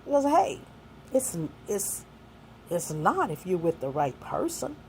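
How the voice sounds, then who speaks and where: A woman's voice: fairly low pitch, sing-song, a little slow, darkish tone, slight background noise. Earnest older woman giving folksy advice. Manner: earnest and folksy, mixing faith and street talk. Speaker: speaker giving life advice. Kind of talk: heartfelt talk to young people.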